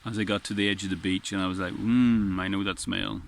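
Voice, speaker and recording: Man, low strong voice, rambling earnest vlog delivery, recorded outdoors by the sea with faint wind behind him. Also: flat delivery, fairly quick, vocal fry. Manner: rambling, earnest, frequent restarts. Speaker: vlogger. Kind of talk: casual spoken reflection. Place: outdoors on a windy beach.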